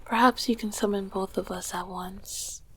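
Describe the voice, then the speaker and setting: A woman voicing an ancient succubus queen in an intimate ASMR roleplay, tender, regal and teasing, with a breathy, husky, often whispering voice. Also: medium pitch, steady tone. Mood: regal, contented. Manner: slow, affectionate, softly teasing.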